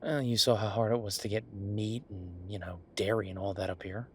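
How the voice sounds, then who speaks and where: A man playing an easygoing chef in an audio roleplay, talking in a casual, musing, explanatory way to his partner, with a slightly husky voice. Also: on the low side, steady tone, moderate pace, slight background noise, lightly acted. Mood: earnest, casual. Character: easygoing male chef planning restaurant business with his partner. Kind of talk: one-sided roleplay acting, casual chat.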